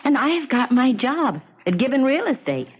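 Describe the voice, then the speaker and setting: A woman's voice: high-pitched, big pitch swings, moderate pace, husky, full character voice. A loyal best friend in a seventies radio play. She is soothing and encouraging, heard on a dull old recording. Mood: reassuring. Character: loyal, reassuring woman, the landlady's closest friend. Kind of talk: 1970s radio drama acting.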